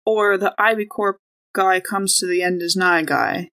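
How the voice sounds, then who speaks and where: A woman's voice: medium pitch, steady tone, unhurried, pushed and bright. A woman chatting casually and slangily as a player in a tabletop role-playing game.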